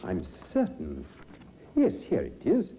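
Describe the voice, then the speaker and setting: An actor playing an obliging, musing chemist with a husky voice and a hesitant, chatty manner in a 1950s radio drama. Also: male voice, mid-range voice, huge pitch swings, takes it slowly, slight background noise, exaggerated voice. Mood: pleased, certain. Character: obliging male chemist, ponderous, proud of his memory. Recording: dull vintage radio recording.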